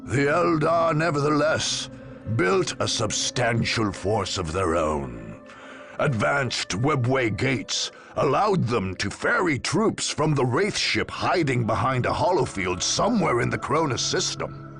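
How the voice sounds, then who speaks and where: A man playing a grave, stern space marine commander in a video game, narrating solemnly and deliberately with a gravelly voice. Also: medium pitch, big pitch swings, a bit bright, faint music behind, exaggerated voice. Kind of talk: dramatic scripted game narration.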